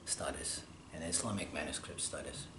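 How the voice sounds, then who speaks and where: A man from Russia, a scholar answering interview questions, pausing often as he searches for his words. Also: extremely low pitch. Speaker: scholar interviewed about manuscript studies. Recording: roomy echo, faint background hum.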